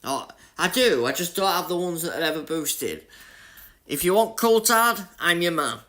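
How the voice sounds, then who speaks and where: Man, Yorkshire accent, slightly higher voice, casual streamer chat, jokey. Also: animated, normal speed, bright, forceful tone. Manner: chatty, jokey, greets chat by name, laughs often. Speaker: gaming livestreamer. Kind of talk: casual live-stream chat with viewers while playing.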